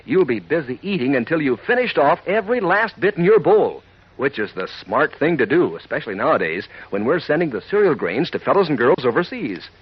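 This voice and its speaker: A man's voice: mid-range voice, husky. A man doing a chummy, folksy 1940s radio advertising read as an enthusiastic announcer. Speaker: radio announcer and sponsor pitchman. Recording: dull lo-fi 1940s radio recording.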